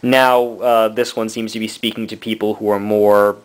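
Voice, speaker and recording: Low-voiced rambling man in his thirties. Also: little pitch movement, clear, resonant tone, poor audio. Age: 30s. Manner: rambling, halting, many fillers; laughs between thoughts. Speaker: book and comic reviewer. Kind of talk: casual rambling review.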